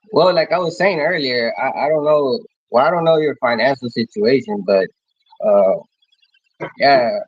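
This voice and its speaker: A man in his thirties from Mexico, hosting a casual livestream and answering viewers in a rambling, halting way, restarting his phrases often. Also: mid-range voice, breathy edge, no echo, dull audio. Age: 30s. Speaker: livestream host.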